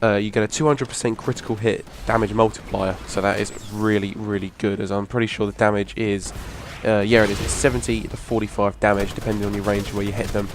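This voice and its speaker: A hoarse young man, rambling casually through a video game weapon review. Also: fairly low pitch, level tone, fast, hardly any pauses, a little hiss or hum. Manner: rambling, restarts a lot, casual swearing. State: hoarse from a cough. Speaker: gaming channel host. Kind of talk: casual gameplay commentary.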